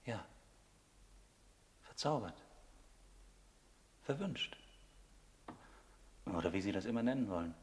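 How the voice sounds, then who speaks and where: An actor playing an enigmatic former soldier turned smuggler in a radio play, grave, resigned and cryptic. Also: male voice, lowish voice, expressive, slow pace, long pauses, a little mellow, a little hiss or hum. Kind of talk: German radio play acting. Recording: long reverberant hall sound.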